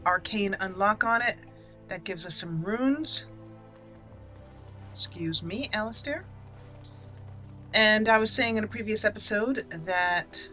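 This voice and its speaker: A woman's voice: mid-range voice, bright, forceful tone. A woman hosting a gaming channel, giving let's play commentary with roleplay as she plays.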